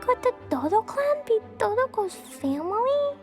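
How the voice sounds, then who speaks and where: A woman's voice: very high voice, very animated, a little slow, soft background music, exaggerated voice. A woman voicing an eager, earnest and stubborn little girl in a video game, with a sweet, childlike voice. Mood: puzzled, earnest. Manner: earnest, pleading, refers to self by name. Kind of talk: video game character voice acting.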